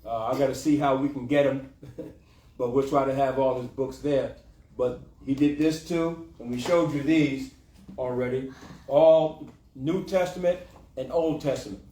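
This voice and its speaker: A man's voice: on the high side, darkish tone. A man teaching scripture informally as a class leader, repeating his points and checking in with short tag questions. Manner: repetitive, punctuating with "okay" and "huh". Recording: echoey room, distant mic.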